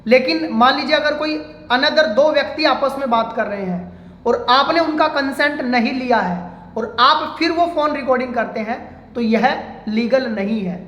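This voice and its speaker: A man's voice: very high-pitched, moderate pace, fairly bright tone. A man speaking as an advocate, giving an informal legal explainer step by step and addressing viewers as friends. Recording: echoey room with long reverb.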